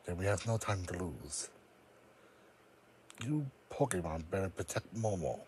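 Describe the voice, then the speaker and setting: A man voicing a proud, wary psychic creature in a grave, halting, formal manner for a dramatic fan audio roleplay. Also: low voice, animated, a little mellow, slight background noise.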